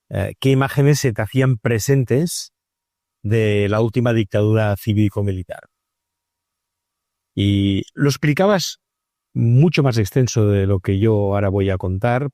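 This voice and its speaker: Mellow, unhurried male interviewer. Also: fairly low pitch, dry recording, studio quality. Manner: hesitant, restarts phrases, adds checking tags. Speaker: interviewer, podcast host. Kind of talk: conversational interview questions.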